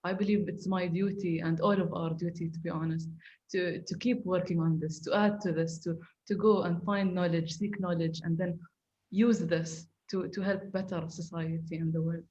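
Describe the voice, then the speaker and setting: A young woman from the Emirates speaks earnestly in a low, level voice. The sound is dull and slightly lo-fi, with faint noise behind. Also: steady tone, mellow. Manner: earnest, quick. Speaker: student panelist. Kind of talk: casual panel discussion.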